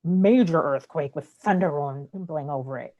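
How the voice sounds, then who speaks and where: A woman's voice: sits low, unhurried, highs cut off. A woman reading stage directions as the narrator of a play, in scripted narration.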